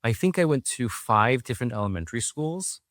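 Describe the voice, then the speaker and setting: A man from Taiwan, a guest investor, answering interview questions in a thoughtful, measured way. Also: mid-range voice, lively intonation, fairly bright tone, dry recording, clean studio sound.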